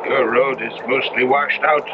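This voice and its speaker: Actor as a stoic, serious ranch guide. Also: male voice, medium pitch, fairly even intonation, measured pace, loud music behind the voice, lightly acted. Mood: matter-of-fact. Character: stoic, superstitious ranch guide, brave and deferential. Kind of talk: 1970s radio drama acting. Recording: muffled, noisy old radio broadcast recording.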